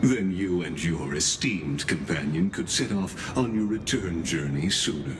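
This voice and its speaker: Deep polite schemer robot scholar for a video game, formal n deferential, really expressive intonation, rumbly noise behind. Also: male voice. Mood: courteous, composed. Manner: formal, deferential, measured, deliberate. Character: courteous, scheming machine-born male scholar, formal and composed. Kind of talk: scripted video game character dialogue.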